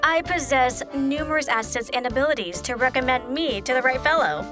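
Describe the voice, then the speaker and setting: A woman's voice: high-pitched, fairly quick, over music, close to an everyday voice. A woman playing a prim, sly and menacing spinster heiress in an audio play, with haughty, ornate phrasing. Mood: haughty, purposeful. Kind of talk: theatrical audio play acting.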